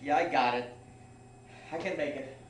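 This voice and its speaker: A man's voice: medium pitch, moderate pace, natural voice. A man playing a defiant young rookie mercenary at an informal script table read. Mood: resolute. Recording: echoey room, distant mic, faint noise.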